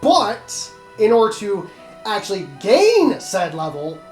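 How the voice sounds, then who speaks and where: A man hosting a let's play, explaining the game in casual, halting commentary. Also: sits high, huge pitch swings, roomy sound, soft background music.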